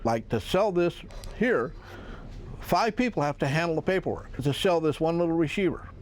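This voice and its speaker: A man's voice: on the high side, animated, normal speed. A man with an American accent talking casually in an interview as a small shop owner.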